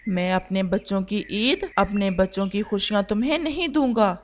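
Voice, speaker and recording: A woman voicing a gentle, devoted father pigeon in a cartoon, speaking in an earnest, polite, pleading manner. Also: medium pitch, moderate pace, slight background noise, natural voice.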